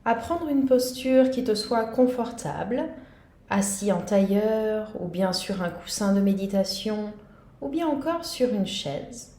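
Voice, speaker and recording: A woman guiding a yoga nidra meditation in a calm, gentle, soothing manner. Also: medium pitch, a little slow, echoey room. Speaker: meditation guide.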